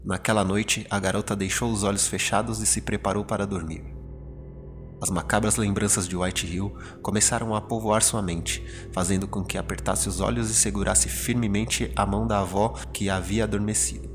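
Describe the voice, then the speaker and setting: Horror podcast narrator: Brazilian man, low register, dramatic scripted storytelling over prominent music. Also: fairly even intonation, moderate pace.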